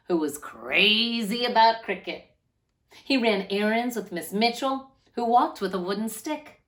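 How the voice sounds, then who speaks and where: A woman's voice: medium pitch, a bit bright. A woman hosting a read-aloud for children, narrating expressively with a lilting delivery.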